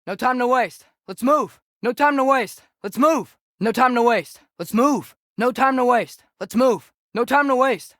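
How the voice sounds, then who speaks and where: A man's voice: extremely high pitch, fairly bright tone, no room sound, studio quality, exaggerated voice. A young male actor with an American accent voicing an eager, earnest teenage boy archer in video game combat callouts. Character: eager teenage boy warrior archer, loyal, quick to react.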